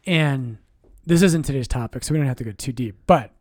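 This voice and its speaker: Relaxed priest, dry mic. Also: male voice, mid-range voice, fairly quick, no room sound. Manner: relaxed, explains doctrine with jokes and self-corrections. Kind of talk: casual podcast chat.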